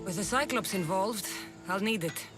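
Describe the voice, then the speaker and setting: An actress playing a tough, sardonic young mercenary in a video game, speaking in a dry, blunt, menacing way. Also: female voice, mid-range voice, moderate pace, over loud music, close to an everyday voice. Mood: stern.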